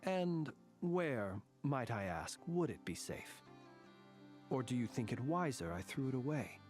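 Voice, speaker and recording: A world-weary soldier-scholar, formal and wordy, with a dry wit. His voice sits at an ordinary middle pitch over soft game music. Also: big pitch swings, unhurried. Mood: wry. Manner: formal, wordy and dryly wry. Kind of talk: game voice acting.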